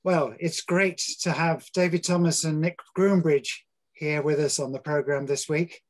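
A man's voice: fairly high pitch, fairly even intonation, unhurried. An older man introducing a community programme and its guests in a plain, friendly presenting manner over a webcam call. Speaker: programme host and interviewer. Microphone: webcam video call.

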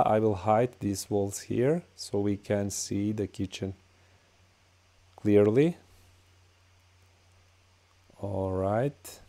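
A man with a Bosnian accent talks through a screen-recorded tutorial, speaking English as a second language. His voice is low and dark, and his delivery is slow and halting, full of fillers. It sounds like a plain desktop-mic voice-over. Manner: halting, many "uh" and "all right" fillers. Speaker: tutorial host.

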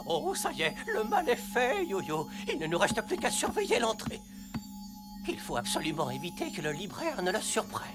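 A learned, bossy little cartoon animal. He is earnest and anxious, with wildly swooping intonation as he gives instructions, over loud music. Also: high voice, huge pitch swings, moderate pace. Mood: worried. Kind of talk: French animated fairy-tale cartoon acting.

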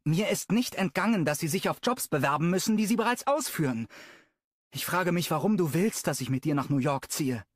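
A fiery, stubborn young woman, performed by a man reading a romance audiobook speaks in a lifted, bright register with big swings in pitch. She is sharp-tongued. The recording is dry and close, with no room sound. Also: expressive, fairly quick, no echo. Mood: angry.

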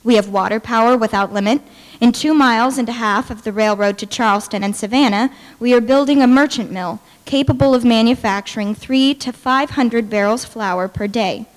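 A young adult woman giving a formal, deliberate reading of historical documents. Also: on the high side. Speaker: dramatic reader. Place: large brick-walled hall with stage, indoors. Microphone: podium microphone. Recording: reverberant large hall.

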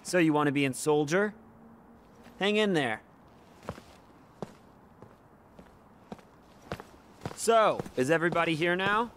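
An actor playing a young, earnest but brash and disillusioned elite soldier in a video game. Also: male voice, fairly high pitch, lively intonation, normal speed, a bit bright, a little hiss or hum. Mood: rousing, commanding. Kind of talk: video game cutscene acting.

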